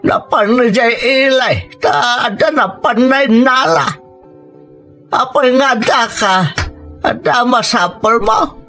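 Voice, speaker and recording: A man's voice: extremely high pitch, takes it slowly, bright, forceful tone, full character voice. A man playing a blunt, protective old grandfather in an Ilocano audio drama, with a Filipino accent and an emphatic, reproachful delivery. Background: music.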